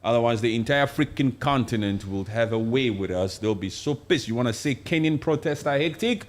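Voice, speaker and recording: A man's voice: medium pitch, lively intonation, a little fast. Impassioned African man, emphatic. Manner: impassioned, emphatic, repeats phrases. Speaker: live stream co-host. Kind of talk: casual political chat.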